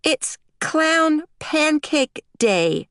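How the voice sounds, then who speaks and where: A woman's voice: sits high, very animated, drawn-out words, fairly bright tone, no room sound, clean studio sound, pitched above the performer's own voice, heavily acted. A woman voicing a stubborn, whiny preschool-age girl in an audiobook, with a pouty, childish, insistent way of talking. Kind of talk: audiobook character voice.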